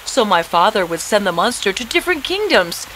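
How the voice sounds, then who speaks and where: A woman voicing a gentle, confident young princess in a fairy-tale cartoon, expressive and coaxing as she speaks. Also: mid-range voice, fairly quick, a little hiss or hum, rough recording. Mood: pleased, explaining. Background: prominent background music.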